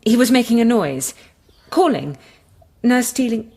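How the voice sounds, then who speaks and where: An actress playing an earnest, self-conscious young psychiatrist in love, speaking in an articulate, confiding voice in a radio drama. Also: female voice, fairly high pitch, very animated, measured pace, warm tone, breathy edge, dry recording, heavily acted. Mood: concerned. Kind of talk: radio drama acting with intimate narration. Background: music.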